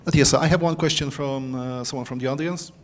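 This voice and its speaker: A man moderating a panel, relaxed and welcoming. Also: mid-range voice, fairly quick.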